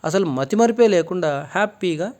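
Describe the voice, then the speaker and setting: A man voicing a calm, wise swamiji in a children's story, fatherly and firm as he gives counsel. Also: high-pitched, normal speed. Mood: calm, assured. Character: calm male swamiji, wise guru of an ashram.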